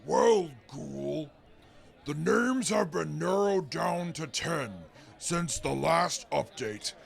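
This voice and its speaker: A man voicing a big gruff super mutant field reporter in an audio drama, pompous and halting, scornful of humans, bursting into shouts. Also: on the high side, lively intonation, exaggerated voice. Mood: measured announcing.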